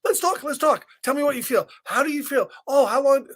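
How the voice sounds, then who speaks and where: A man's voice: very high voice, fairly quick, a bit bright, pitched above the performer's own voice. A man voicing a well-meaning, chatty chicken from a picture book, clucking and coaxing in a repetitive, eager way.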